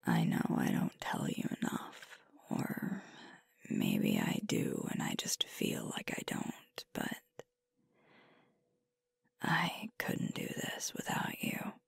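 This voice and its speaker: A sweet, earnest young female werewolf leader, played by a woman, speaks in intimate ASMR roleplay. The voice is very low and breathy, often near a whisper, and swings widely in pitch. The delivery is tender and hesitant, close on the mic. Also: huge pitch swings, no room sound, full character voice. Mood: vulnerable, tender. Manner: slow, tender, hesitant, with pauses. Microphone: close microphone, dry.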